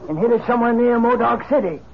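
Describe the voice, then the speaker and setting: Crusty old western outlaw geezer, high gravelly voice, folksy, muffled hissy old radio show. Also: male voice, fast. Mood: storytelling. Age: older. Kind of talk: 1950s radio western acting. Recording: dull, noisy 1950s radio recording.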